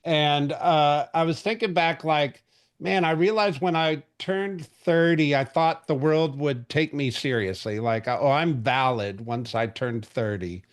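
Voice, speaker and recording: A man's voice: fairly high pitch, a little slow. A man in his forties hosting a real estate industry call, talking casually with lots of fillers and restarts. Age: 40s. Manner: chatty, rambling, frequent fillers and restarts. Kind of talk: casual market update briefing.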